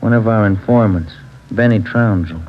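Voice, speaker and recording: An actor playing a steady male police detective partner in a 1940s radio drama, conversational and plain, in a noisy, dull recording. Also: on the low side, normal speed, natural voice.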